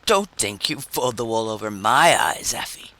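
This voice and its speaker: A man's voice: expressive, moderate pace. A man voicing an enraged, jealous husband with a nasal, gasping voice, ranting in short phrases in a vintage radio science fiction drama. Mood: furious. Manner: shrill, screechy, rising to yells. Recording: noisy vintage radio recording.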